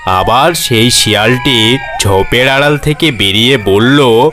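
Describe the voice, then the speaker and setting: A man gives scripted storybook narration for a cartoon. His voice sits at a medium pitch, with music clearly playing behind it. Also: takes it slowly.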